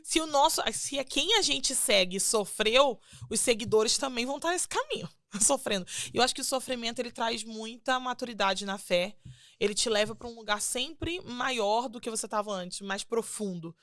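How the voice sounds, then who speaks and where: A young Brazilian woman talks through her faith story in a casual podcast chat, earnest and lively, slipping into quoted voices. Her voice sits a little high, and the sound is close and dry. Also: normal speed, dry recording. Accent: Brazilian Portuguese. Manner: earnest, animated retelling with acted quotes. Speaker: podcast guest, singer.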